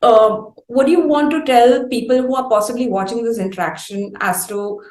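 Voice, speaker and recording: A woman's voice: on the high side, moderate pace, a little mellow. A woman with an Indian accent, working as an interviewer, putting long, winding and carefully phrased questions. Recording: roomy echo on the voice.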